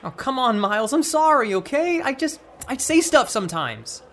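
Sardonic, awkward teen pal, casual audio-drama dialogue, lively swings in intonation, faint ambient noise. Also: female voice, sits high, moderate pace. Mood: teasing, apologetic. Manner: stammering when flustered, casual, wry. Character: teenage friend, awkward, blunt, sarcastic, restless at home.

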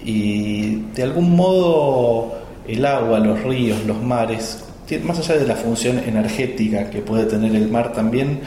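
A man's voice: medium pitch, a little slow, warm tone, a little hiss or hum. A man with an Argentine accent hosting a podcast interview, asking long, winding questions from a hotel room. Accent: Argentine Spanish. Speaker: podcast host. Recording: roomy echo with faint music.

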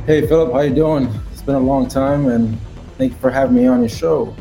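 A man's voice: mid-range voice, brisk, vocal fry, over music, poor audio. Easygoing American man telling his story. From Connecticut, USA. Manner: rambling with many fillers and laughs. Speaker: guest. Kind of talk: casual interview chat.

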